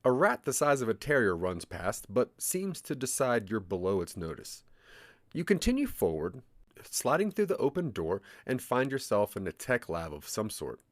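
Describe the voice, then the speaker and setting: A man narrating a scripted second-person adventure story in a dramatic, deliberate storytelling manner. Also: mid-range voice, big pitch swings, no echo, studio quality. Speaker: narrator of a branching audio drama.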